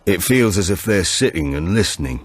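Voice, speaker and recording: A man's voice: sits low, expressive, normal speed, slightly rough, dry recording, voice pushed up. A man voicing an elderly, reflective great-uncle in an audiobook thriller. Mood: reflective, grave.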